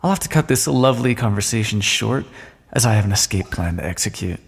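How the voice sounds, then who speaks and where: A man playing a smug, sardonic thief in an audio roleplay, speaking with mocking mock-courtly politeness and drawn-out emphasis in a long echo. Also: on the low side, lively intonation, creaky, slight background noise. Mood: gloating. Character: smug, sardonic male thief, gloating at a fallen monarch. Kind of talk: scripted audio roleplay, theatrical monologue. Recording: long echoing reverb.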